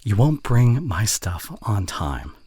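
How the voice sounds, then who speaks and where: Man acting as a deep, breathy, snarky college guy. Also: big pitch swings, slow, dark tone, no echo, heavily acted. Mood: exasperated, scolding. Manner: teasing, grumbling, with sighs and breathy murmurs. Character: snarky young male college student voice actor, secretly fond. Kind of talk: intimate ASMR roleplay acting.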